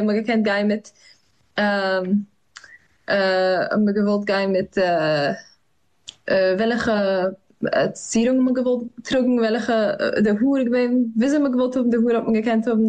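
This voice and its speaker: A young woman, answering interview questions in a halting way, with many fillers and restarts. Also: mid-range voice, fairly even intonation, a little mellow. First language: Yiddish. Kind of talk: hesitant conversational interview.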